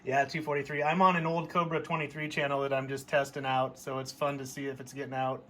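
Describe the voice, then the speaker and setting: A man's voice: mid-range voice, level tone, quick pace, fairly bright tone, a little hiss or hum. A middle-aged American man chatting casually and nostalgically, in a rambling show-and-tell style. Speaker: hobbyist vlogger showing a CB radio.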